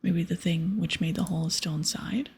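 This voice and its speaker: A woman doing ASMR game commentary, reading aloud and chatting casually in a breathy whisper. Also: on the low side, fairly even intonation, a little fast, darkish tone.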